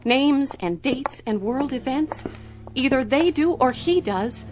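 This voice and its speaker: Troubled officer's wife, slightly high voice, sci-fi radio drama with music behind. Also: female voice, lively intonation, takes it slowly. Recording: music bed underneath.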